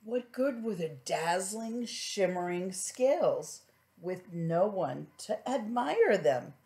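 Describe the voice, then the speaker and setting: A middle-aged woman reading a children's storybook aloud as a preschool teacher, with gentle questioning asides to young listeners. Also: mid-range voice, sing-song, takes it slowly.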